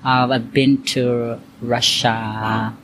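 A man from Cebu talks at an unhurried, drawn-out pace, his phrasing loose and chatty with constant small fillers. His voice sits at an ordinary middle pitch for a man. The recording carries a clear layer of background noise under him. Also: flat delivery, a bit bright. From Philippines, Cebu. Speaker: interviewee, accountant and Zumba instructor. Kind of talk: casual interview answers. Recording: noisy background hiss.

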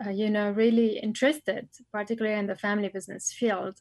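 A woman's voice: medium pitch, level tone, quick pace, darkish tone, no echo. A middle-aged woman from Lebanon, a family business scholar, answering interview questions thoughtfully with frequent fillers and restarts.

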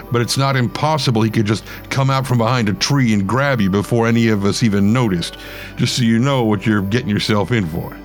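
A man voicing a crude, brisk leader of a search party who talks bluntly and in a hurry. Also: mid-range voice, close to an everyday voice. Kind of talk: storytelling.

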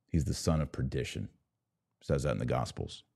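Low, mellow-voiced man hosting religious commentary. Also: lively intonation, moderate pace, creaky, breathy edge, no room sound, studio quality. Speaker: podcast host.

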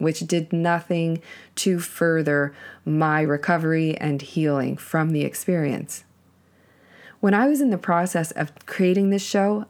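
Woman, podcast host, lowish voice thickened by a cold, earnest, reflective solo monologue. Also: a little mellow. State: has a cold, voice lowered.

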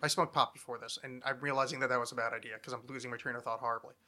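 A man's voice: medium pitch, fairly even intonation, very fast, bright, forceful tone. A man in his thirties chatting casually and ramblingly as a podcast host, with a wry, mock-dramatic, self-deprecating manner.

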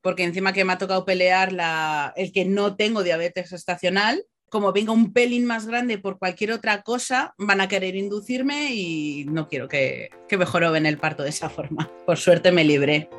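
A woman's voice: lowish voice, moderate pace, faint music behind. A woman from the Basque Country, telling personal stories casually as a podcast guest, acting out bits of dialogue. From Basque Country, Spain. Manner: chatty, animated retelling with quoted dialogue.

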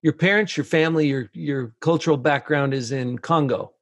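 A man's voice: a little high, moderate pace, fairly bright tone, no echo. Dry-voiced male interviewer. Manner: conversational, leads with long framing questions. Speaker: interviewer, host. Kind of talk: interview questions.

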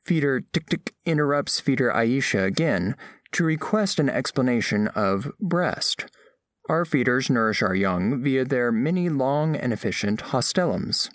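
Formal alien scholar, played by a man, audio fiction narration, precise and deliberate diction, animated pitch movement, dry close sound. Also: no room sound, close to an everyday voice. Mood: puzzled, formal. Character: formal, studious alien scholar reporting on a diplomatic mission. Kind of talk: scripted first-person narration, audio fiction.

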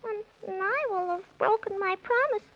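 Really high little squirrel kid, plucky n earnest, old noisy radio play. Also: moderate pace. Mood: pleading. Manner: excitable, pleading, childlike exclamations. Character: small, earnest, plucky squirrel lad, easily indignant. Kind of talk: 1930s children's radio serial acting in rhyme. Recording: noisy, muffled 1930s radio recording.

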